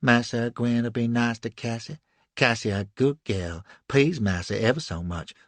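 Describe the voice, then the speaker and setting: Guy doing a scared young woman, soft and pleading, kinda husky, dry close audiobook sound. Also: medium pitch, normal speed, no echo, lightly acted. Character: young enslaved woman, frightened but resolute.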